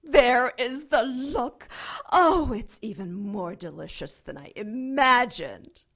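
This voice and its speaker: A woman playing an imperious, sardonic ancient dark angel in an audio drama, taunting and theatrical in a deliberate way. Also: fairly high pitch, lively intonation, full character voice. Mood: gloating.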